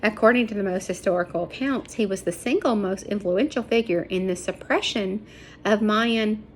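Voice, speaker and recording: A woman reading a children's novel aloud as an expressive storyteller. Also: mid-range voice, moderate pace, slight background noise. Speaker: storybook reader. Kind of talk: scripted narration, children's book read aloud.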